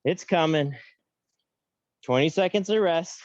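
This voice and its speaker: A young man coaching a workout class, counting reps and giving upbeat cues with joking asides in a high-pitched voice. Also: measured pace, no room sound, highs cut off. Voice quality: self-described high-pitched voice. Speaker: fitness coach. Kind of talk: guiding an online workout class.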